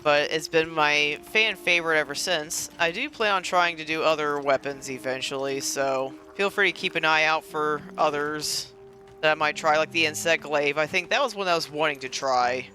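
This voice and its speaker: A gamer doing casual let's play commentary in a bright voice of middle pitch. The talk rambles and jokes along, with faint game music behind it. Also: normal speed. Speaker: gaming content creator.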